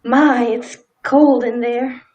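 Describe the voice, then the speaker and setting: A woman's voice: fairly high pitch, fairly even intonation, slow pace, darkish tone, highs cut off. A woman in a radio play acting a timid, dutiful, law-abiding small-town wife with hesitant, folksy old-fashioned speech.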